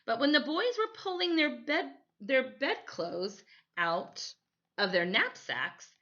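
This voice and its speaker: A woman's voice: high voice, very animated, slow, sharp tone, dull audio. A woman reading a children's story aloud expressively, as a storytime teacher.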